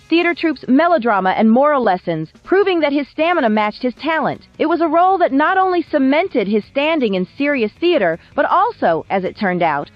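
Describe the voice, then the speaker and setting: A woman narrating a scripted biographical documentary in a measured, polished storytelling manner with wry touches. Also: sits high, no echo, dull audio. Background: background music.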